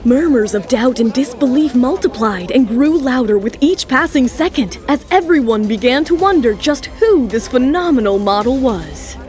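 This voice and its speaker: A woman's voice: sits high, lively intonation, normal speed, few pauses, a little mellow, faint music behind. A woman narrating a scripted romantic drama as a storyteller.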